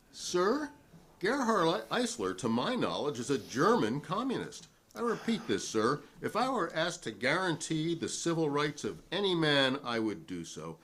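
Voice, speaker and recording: A man's voice: mid-range voice, a bit bright. A man playing a defiant, principled newspaperman who testifies formally and argumentatively at a 1958 hearing in a dramatic reading.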